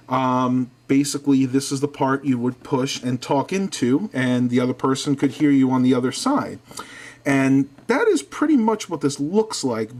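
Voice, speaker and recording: A man's voice: medium pitch, a little mellow. A man hosting an online video, casually reviewing a product and explaining it step by step to viewers in a chatty way. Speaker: YouTube channel host.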